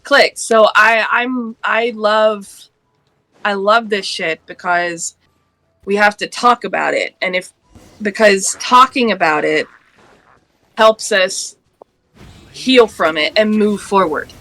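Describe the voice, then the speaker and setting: A woman's voice: medium pitch, slow pace, pauses often, sharp tone, no room sound, faint music behind, poor audio. A woman in her late thirties from Georgia, talking earnestly and passionately in a casual panel discussion, laughing now and then. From Georgia, US Deep South. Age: 30s.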